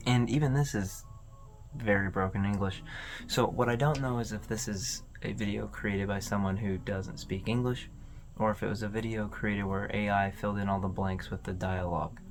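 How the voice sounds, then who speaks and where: Low-voiced man rambling through casual video commentary. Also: fairly even intonation, soft background music. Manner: rambling, informal, halting. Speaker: video host.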